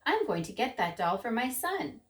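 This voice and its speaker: A woman voicing an excitable, easily panicked male shopper in a children's story. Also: fairly high pitch, moderate pace. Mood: admiring.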